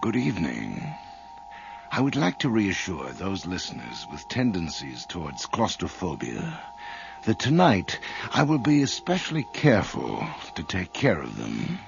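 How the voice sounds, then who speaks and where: A man playing a sinister, drily amused host introducing a radio horror play in an ominous, deliberate, theatrical way. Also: low-pitched, wildly swooping pitch, vocal fry, heavily acted. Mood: ominous, sardonic. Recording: dull lo-fi radio recording, music behind.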